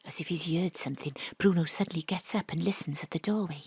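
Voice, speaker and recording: A woman narrating as a private detective in a radio play, with a brisk, wry, deadpan manner. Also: on the low side, husky, no echo. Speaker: narrator and detective Astrid Price. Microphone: home studio mic.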